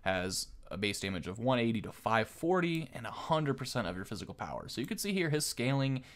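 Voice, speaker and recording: A man's voice: medium pitch, big pitch swings, a little fast. A man hosting a gaming video with casual, off-the-cuff gameplay commentary.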